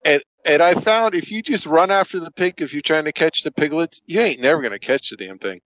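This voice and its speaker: A man's voice: a little high, lively intonation, a little fast, fairly bright tone, dry recording. A man tells casual stories in a folksy, colloquial way. The recording is very dry and a little dull, with no sense of room. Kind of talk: casual anecdote telling. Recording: dry, slightly dull audio.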